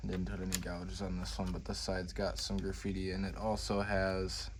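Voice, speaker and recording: Low, raspy, monotone American man. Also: a little hiss or hum. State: slightly raspy voice today. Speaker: model railroad video host. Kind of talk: casual unscripted showcase talk.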